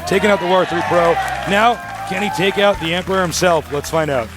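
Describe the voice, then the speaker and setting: A man's voice: sits high, brisk, over loud music, over a noisy crowd. A young man doing live esports play-by-play in a packed studio, hyped, joking and announcer-like. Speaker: esports commentator.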